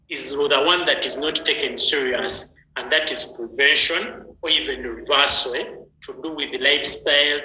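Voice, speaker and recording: Bright-voiced man hosting online. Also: mid-range voice. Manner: chatty, greets viewers by name. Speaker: health session host. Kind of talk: online training talk. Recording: echoey room with faint noise.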